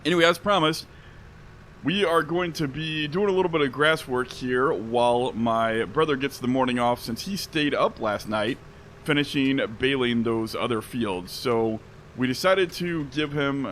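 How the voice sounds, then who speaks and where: A young man with a drawl and a slightly hoarse, just-woken voice talks his way through a game session. He rambles easily, chuckles, and stops to correct himself mid-sentence. There is faint noise behind him. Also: mid-range voice, moderate pace, a bit bright. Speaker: let's play host. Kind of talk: casual gameplay commentary. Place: home spare bedroom studio.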